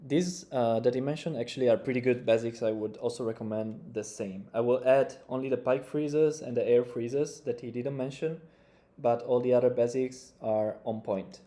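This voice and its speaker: Mellow-voiced young man musing. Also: mid-range voice, normal speed. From Italy. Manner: relaxed, thinking aloud with loose grammar. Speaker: breaking coach reacting to tutorials. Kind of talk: casual reaction commentary. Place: small room indoors.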